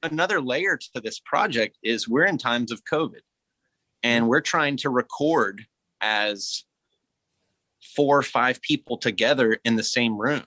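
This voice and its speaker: A man speaking as a guest actor in a reflective podcast conversation, earnest and expressive. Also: mid-range voice, unhurried, dry recording.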